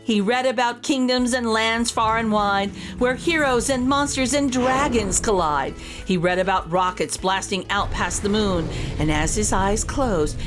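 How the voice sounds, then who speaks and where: A woman reading a rhyming children's story aloud as an expressive storyteller. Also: fairly high pitch. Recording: voice over background music. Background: music.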